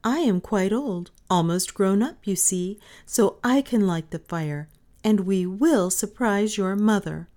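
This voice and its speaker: A woman's voice: mid-range voice, unhurried, darkish tone, close to an everyday voice. A woman voicing a kind, cheerful, nearly grown mountain girl in a storybook, friendly and reassuring.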